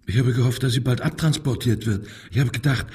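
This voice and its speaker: Radio crime play, broken, self-pitying prisoner: extremely husky middle-register voice, hesitant and submissive. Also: male voice, mid-range voice, brisk, warm tone. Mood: self-justifying. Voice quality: very husky, raspy. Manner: hesitant, servile, self-justifying. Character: cowed, self-pitying murder suspect confessing to police. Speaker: actor in a radio crime drama. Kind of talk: German radio play dialogue.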